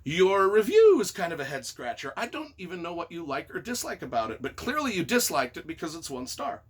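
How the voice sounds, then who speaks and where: Guy reading reviews out loud, highish voice that jumps around a lot, wry and self-deprecating. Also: very animated, a little fast, husky. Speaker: author and YouTuber. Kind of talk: reading reviews aloud with casual reactions.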